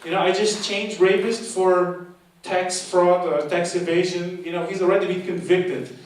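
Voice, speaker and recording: Young stand-up comic, chatty and self-mocking, fairly high voice, echoey hall, miked from far off. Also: male voice, steady tone, moderate pace. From half Portuguese, half Argentinian. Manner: chatty, playful, self-mocking, talks to the audience. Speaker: stand-up comedian and course leader. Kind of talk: stand-up comedy set. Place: live comedy show in a hall. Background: audience laughter.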